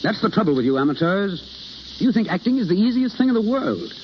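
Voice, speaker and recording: An actor playing a suave, exacting drama teacher in a 1930s radio play, speaking in a crisp, instructive, theatrical way. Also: male voice, high voice, sing-song, fairly quick. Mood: brisk instruction. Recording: muffled, hissy 1930s radio broadcast recording.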